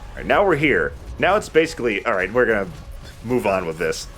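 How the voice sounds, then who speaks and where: A man's voice: fairly low pitch, big pitch swings, fairly quick, a bit bright, soft background music. A man giving casual commentary as he plays a video game, chatting and swearing lightly. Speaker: let's play host playing the game. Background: video game sound.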